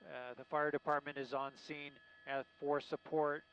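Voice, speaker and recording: A man working as a helicopter news reporter, giving live unscripted commentary in a halting, searching way. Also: a little high, little pitch movement, fairly bright tone. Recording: muffled lo-fi live broadcast with faint noise.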